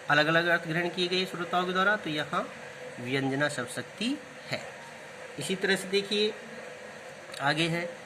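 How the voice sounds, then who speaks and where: Man, fairly high voice, step-by-step teaching, steady hum behind. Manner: explains step by step, repeats key terms. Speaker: Hindi literature teacher. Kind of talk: classroom-style explanation of word meanings.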